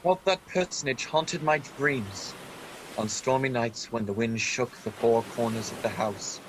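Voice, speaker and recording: A man playing an earnest storyteller who recalls a boyhood adventure, narrating a radio play in a measured, literary way. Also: medium pitch, a little hiss or hum, natural voice, low-quality recording. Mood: reflective storytelling.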